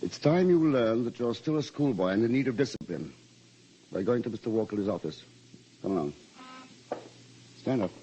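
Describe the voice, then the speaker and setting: An actor playing an anxious, stern English boarding school housemaster, with a British accent and formal, measured wording, in old radio drama style. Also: male voice, lowish voice, lively intonation, dark tone, slight background noise, natural voice, rough recording. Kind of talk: old-time radio drama acting and first-person narration.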